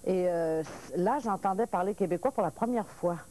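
A woman in her sixties born in Paris, a lively talk-show guest whose French mixes Parisian and Quebec speech, telling stories with restarts. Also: fairly low pitch, moderate pace, low-quality recording. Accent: mixed Parisian and Quebec French. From France. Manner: lively storyteller, restarts and laughs, points her words. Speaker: actress guest. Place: TV studio set, seated at table.

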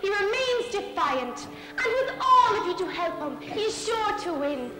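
A woman's voice: very high-pitched, big pitch swings, measured pace, full character voice. A young woman playing a kindly pantomime fairy, reciting rhyme in a projected, declamatory way. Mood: reassuring, grand. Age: young adult. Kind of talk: theatrical rhyming pantomime prologue. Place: theatre stage, indoors. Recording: echoey theatre, loud music behind.

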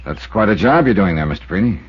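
An actor playing a wry, shrewd insurance investigator in 1950s radio drama style, polite and probing, on an old recording. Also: male voice, deep voice, expressive, fast, muffled recording, slight background noise. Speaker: lead detective and narrator.

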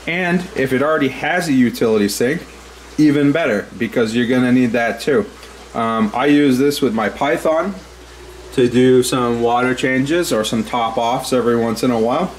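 A man's voice: medium pitch, a little hiss or hum, poor audio. A man hosting an aquarium hobby video, talking casually with lots of ums while filming a walkthrough of his home basement. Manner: relaxed and chatty, many ums and uhs. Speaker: aquarium hobby channel host. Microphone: handheld camera, speaker off-screen.

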